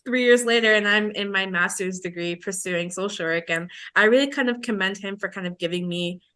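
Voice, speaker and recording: A woman's voice: mid-range voice, fairly quick, sharp tone. A woman in her twenties telling a personal story on a podcast in a chatty, informal way. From Belize. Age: 20s. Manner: chatty, informal, acts out remembered dialogue. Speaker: podcast co-host.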